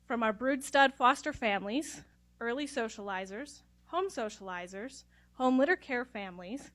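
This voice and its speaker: A woman giving a formal, measured speech of thanks at a graduation ceremony. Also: a little high, lively intonation, fairly bright tone. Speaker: regional manager of a puppy program. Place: ceremony room.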